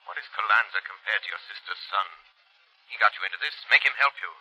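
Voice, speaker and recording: Invisible avenger from old radio serial, low but sharp forceful voice, menacing, relentless. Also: male voice, husky, heavily acted, rough recording. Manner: insistent, menacing, deliberate. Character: invisible avenging male crime-fighter, relentless, commanding, haunting. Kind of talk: 1930s radio acting. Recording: thin vintage 1930s radio recording.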